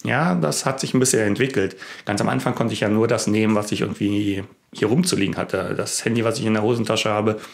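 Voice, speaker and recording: A man's voice: lowish voice, fairly even intonation, fast talker, creaky, hardly any pauses. A man from Berlin, Germany, hosting a tech vlog and talking casually to the camera. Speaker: YouTube tech vlogger, host.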